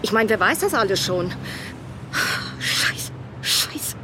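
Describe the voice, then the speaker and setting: A woman's voice: medium pitch, animated, slow pace, noisy background. An actress playing an overwrought, sardonic woman around forty, speaking in blunt, agitated bursts of naturalistic radio drama dialogue. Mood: distraught, pleading. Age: 40s. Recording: loud music behind. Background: music.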